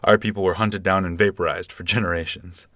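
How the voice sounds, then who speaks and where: An actor playing an earnest, defensive galactic presidential candidate with formal politician's talk in a comic sci-fi radio play. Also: male voice, deep voice, fairly even intonation, brisk, bright, forceful tone, no room sound, studio quality. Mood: earnest, modest.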